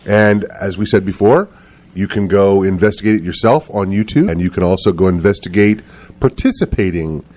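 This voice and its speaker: A man's voice: low voice, moderate pace, a little mellow. A man hosting a casual live radio chat in a jokey, playful manner. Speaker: radio show host.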